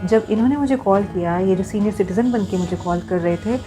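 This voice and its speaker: A woman's voice: medium pitch, brisk, mellow, faint music behind. A woman talking to viewers in an earnest, explanatory vlog style, mixing in English phrases. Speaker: vlogger.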